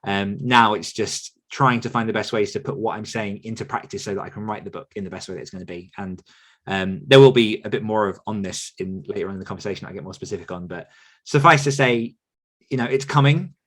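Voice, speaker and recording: Male podcaster and coach, lower register, reflective, earnest, conversational delivery that circles back on itself. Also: fast, fairly bright tone. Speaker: author coach and podcaster answering questions. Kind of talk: conversational question-and-answer talk.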